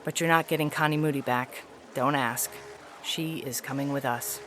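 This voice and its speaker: A woman voicing a haughty, weary feline alien ambassador in a science fiction audio story, speaking in a formal, measured, articulate way. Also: very deep voice, steady tone, background noise. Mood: grave disappointment. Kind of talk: dramatic reading of an epistolary science fiction story.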